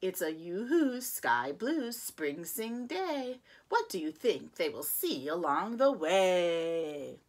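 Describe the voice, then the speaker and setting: A woman preschool teacher reads a picture book to little children, drawing out every line very slowly. Her voice swoops widely in pitch, sing-song and playful, with gasps as she turns each page. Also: very animated. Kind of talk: reading a picture book aloud to young children.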